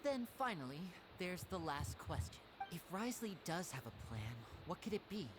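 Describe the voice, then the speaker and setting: An actor playing an earnest, analytical and polite young male magician in a video game, speaking articulately and deliberately. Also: high-pitched, big pitch swings, background noise. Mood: pondering.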